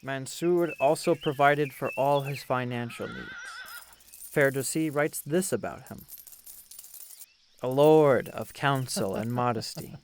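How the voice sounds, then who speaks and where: A man narrating a historical tale as a storyteller, with theatrical, measured delivery. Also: mid-range voice, a little hiss or hum. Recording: music under the voice. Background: musical instruments playing.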